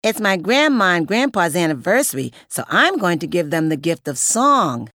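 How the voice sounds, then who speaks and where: Man voicing a cheerful storybook boy, bouncy, sing-song, bright and high. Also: big pitch swings, moderate pace, no echo, clean studio sound, heavily acted. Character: cheerful young boy who loves singing.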